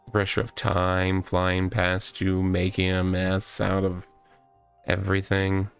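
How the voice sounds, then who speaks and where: A man's voice: sits low, monotone, creaky, clean tone, soft background music, exaggerated voice. A man voicing a needy, self-pitying police officer from a visual novel, stammering and repeating himself. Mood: accusing, intense. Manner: halting, stammering, repetitive.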